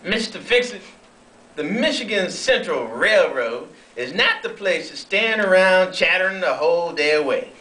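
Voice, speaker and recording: A man's voice: high voice, expressive, moderate pace, pushed and bright. A man playing an officious, self-important railroad underling in an amateur read-through of a period sketch, speaking formally. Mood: scolding. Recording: echoey room, distant mic.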